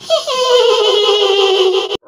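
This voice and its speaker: A woman's voice: very high voice, very noisy, heavily acted. A woman voicing an old cartoon witch servant, eager and menacing, drawing out her words between cackles. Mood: sinister. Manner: slow drawn-out speech with cackles.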